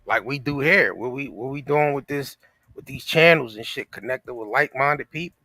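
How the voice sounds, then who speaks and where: A man's voice: mid-range voice, moderate pace, sharp tone, no room sound, soft background music. An American man co-hosting a casual talk show, speaking in an emphatic, repetitive, slangy and profane way. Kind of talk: casual opinionated chat.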